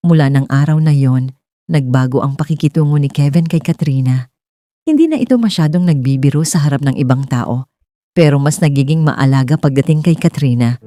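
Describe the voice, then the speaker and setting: A woman's voice: low voice, fairly quick, mellow. A woman with a Filipino accent narrating a scripted romance story in a dramatic style.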